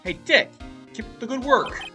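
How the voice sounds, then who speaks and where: A woman voicing a loud, hearty, overfamiliar police superior in a video game. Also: animated, moderate pace, recorded from a distance, lightly acted. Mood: jovial. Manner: hearty, booming. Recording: game music behind the voice.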